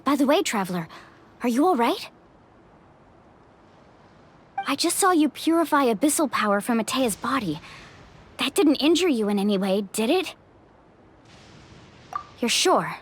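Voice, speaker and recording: High-voiced, commanding young female leader. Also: sing-song, moderate pace, pauses often, slight background noise. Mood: concerned. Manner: earnest, decisive. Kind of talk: anime game voice acting.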